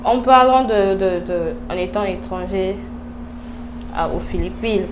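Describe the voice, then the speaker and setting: A woman's voice: medium pitch, unhurried. A woman with a Congolese French accent hosting a casual interview, searching for words and mixing in English. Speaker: host, interviewer. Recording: clear background noise.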